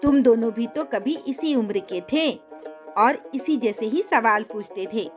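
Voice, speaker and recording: A calm, firm cartoon mother, played by a woman, pitched a little high. She speaks instructively and with authority over light background music. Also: a little slow, close to an everyday voice. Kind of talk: cartoon moral story acting.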